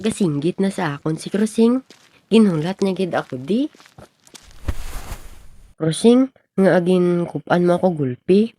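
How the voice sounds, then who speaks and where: A woman's voice: on the low side, lively intonation, a little slow, long pauses, warm tone. A young woman playing an earnest, lovestruck schoolboy in an amateur radio drama. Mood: smitten. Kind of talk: amateur radio drama acting in Filipino regional language.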